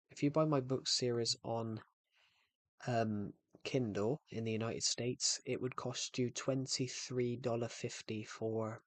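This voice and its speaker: A man's voice: medium pitch, no room sound. Rambling man streaming a game, dry sound. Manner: rambling, repeats and restarts phrases. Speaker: gaming streamer. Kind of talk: casual livestream chat while playing.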